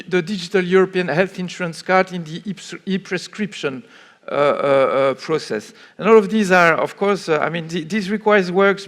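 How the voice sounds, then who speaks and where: A man answering on a conference panel in a fairly high voice. He talks haltingly, stopping often for fillers and starting phrases over. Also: fairly bright tone. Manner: hesitant, many fillers and restarts. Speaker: panelist from a public authority.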